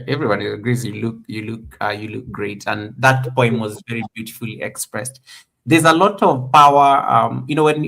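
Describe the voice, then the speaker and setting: A man in his thirties with a gravelly, creaky voice hosting a relaxed live chat. He talks in an encouraging way and often loops back to restart his sentences. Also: mid-range voice, poor audio. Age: 30s. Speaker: host. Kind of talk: casual live-stream interview.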